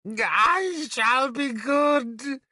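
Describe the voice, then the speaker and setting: A man's voice: sits high, expressive, unhurried, pushed and bright, no room sound, clean studio sound, voice pushed up, heavily acted. A man reading an audiobook, voicing a broken, mad, blind old man who begs like a child and babbles on. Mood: desperate pleading. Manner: whimpering, babbling, childlike begging. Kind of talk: audiobook character voice.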